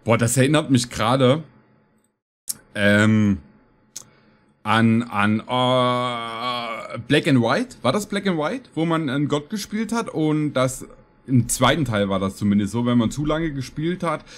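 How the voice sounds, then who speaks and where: A man's voice: medium pitch, expressive, unhurried. A man in his thirties giving casual, rambling, slangy reaction commentary with stammered repetitions. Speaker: reaction video host. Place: small room at home, streaming setup.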